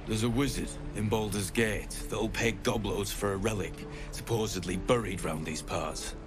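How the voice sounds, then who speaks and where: A rough-spoken mercenary treasure hunter voiced by a man in a fantasy game. He talks colloquially and matter-of-factly in a lowish voice, with clear music behind. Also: moderate pace, natural voice. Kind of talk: video game dialogue acting. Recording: prominent music under the voice.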